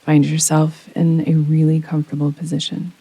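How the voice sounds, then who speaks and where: A woman gently guiding a yoga nidra relaxation meditation in a soothing, unhurried manner with long pauses. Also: sits low, completely flat delivery, husky, no echo. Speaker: meditation guide. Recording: close dry voice over rain sounds. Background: soft rain sounds.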